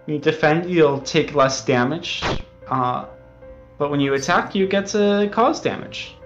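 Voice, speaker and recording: A man with a fairly high voice gives an interview as a game developer, speaking earnestly and hesitantly. The audio is a little dull, with faint music underneath. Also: measured pace, fairly bright tone. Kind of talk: interview chat, explaining a game.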